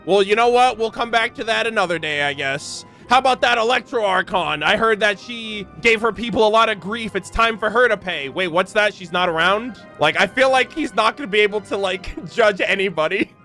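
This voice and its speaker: A man hosting a gaming reaction video, chatting casually in an expressive, slangy way. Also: sits high, brisk, few pauses, soft background music.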